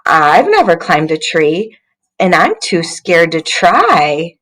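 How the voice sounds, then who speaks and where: A woman's voice: fairly low pitch, sing-song, slow, fairly bright tone, exaggerated voice. A woman voicing a glum, self-doubting little stick in a children's storybook, plaintive and drawing out words. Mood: scared. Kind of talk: children's read-aloud character voice.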